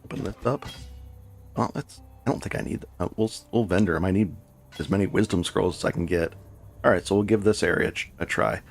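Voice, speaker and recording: Gravelly-voiced man streaming a game. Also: low voice, fairly quick, a little hiss or hum. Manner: rambling, self-correcting, chatty with viewers. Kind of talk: casual live gameplay commentary.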